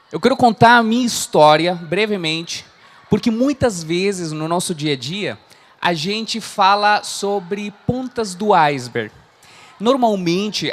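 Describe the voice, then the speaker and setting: A man in his thirties from Chile, speaking Portuguese with a Chilean Spanish accent, giving an earnest motivational talk as a coach. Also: sits high. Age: 30s. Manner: earnest, frequent "né?" tags. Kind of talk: motivational talk to a live audience.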